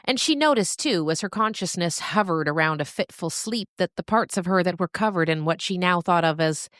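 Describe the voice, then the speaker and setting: Woman reading a story, low voice, close dry sound, expressive w/ dramatic pauses. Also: dry recording. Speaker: narrator. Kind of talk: scripted story narration.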